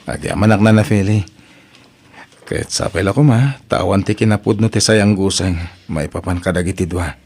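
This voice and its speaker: Deep-voiced, bitterly betrayed husband. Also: male voice, moderate pace, a little mellow. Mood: wary, cold. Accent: Ilocano. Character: hurt, angry betrayed husband. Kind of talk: Ilocano radio drama acting.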